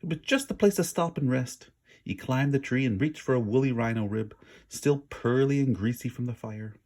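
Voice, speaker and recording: A man's voice: medium pitch, moderate pace, a little mellow. A man reading a children's story aloud with dramatic, emphatic word stress and an informal manner. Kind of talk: expressive read-aloud narration with casual asides.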